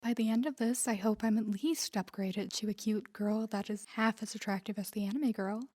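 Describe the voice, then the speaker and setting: A woman performs a wealthy, self-assured girlfriend in an intimate roleplay audio drama. Her tone is mellow and relaxed at a middling pitch. She teases playfully and talks smoothly, like a young woman used to getting what she wants. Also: normal speed, close to an everyday voice. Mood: playful teasing. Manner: teasing, smooth-talking, playful.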